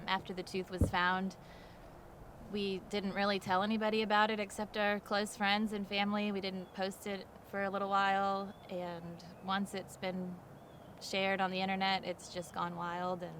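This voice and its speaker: A woman speaks in a casual interview manner, recounting things conversationally. Her pitch moves very little, staying flat and even. There is faint ambient noise behind her voice. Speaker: fossil hunter. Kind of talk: casual documentary interview storytelling.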